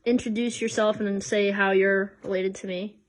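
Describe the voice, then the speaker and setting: A woman's voice: medium pitch, little pitch movement, normal speed. A young woman with an American accent asks questions in a casual family interview. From United States, Chicago area. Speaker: interviewer, daughter.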